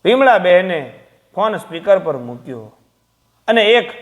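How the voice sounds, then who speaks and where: A man's voice: high-pitched, sing-song, measured pace. A man reading a scripted, sentimental moral story as a storyteller.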